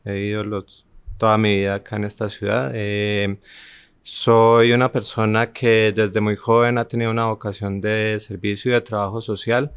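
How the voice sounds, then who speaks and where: Smooth-voiced man, low and dry. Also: little pitch movement, moderate pace, no room sound. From Armenia, Colombia. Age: 40s. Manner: hesitant, many restarts and fillers. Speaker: guest. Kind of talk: conversational interview, reminiscing.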